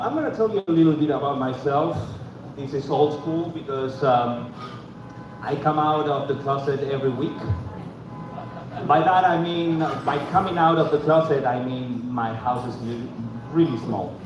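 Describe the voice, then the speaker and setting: A man from Argentina, doing a deadpan, self-deprecating open-mic stand-up set and talking back to the audience. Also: medium pitch, normal speed. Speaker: stand-up comedian. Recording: echoey room from far off, dull, noisy.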